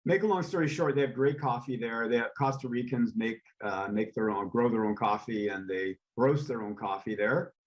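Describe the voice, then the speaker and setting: A man, a real estate developer, speaking as a guest in a casual interview, relaxed and rambling with frequent ums and uhs. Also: medium pitch, normal speed, few pauses, lo-fi sound. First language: English.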